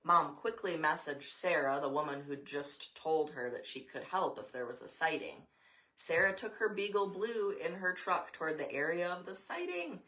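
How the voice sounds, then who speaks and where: A woman's voice: deep voice, lively intonation, normal speed, sharp tone. An American woman reading a story aloud, with casual asides along the way. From Montana, USA.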